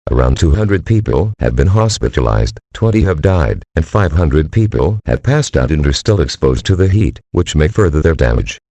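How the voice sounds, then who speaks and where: A man's voice: extremely low pitch, sing-song, moderate pace, few pauses, mellow, slightly rough, no echo, exaggerated voice. A male voice playing an emergency alert system announcer, reading a scripted warning in a formal, mechanical, solemn manner. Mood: impersonal, solemn.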